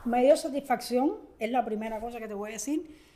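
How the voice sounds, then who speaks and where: An older woman from Cuba speaks with a Cuban Spanish accent in a high, clear-pitched voice. She talks earnestly and thoughtfully as an interview guest, pausing often with small hesitations as she gathers her words. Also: warm tone. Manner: earnest, reflective, with frequent hesitations. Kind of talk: conversational interview.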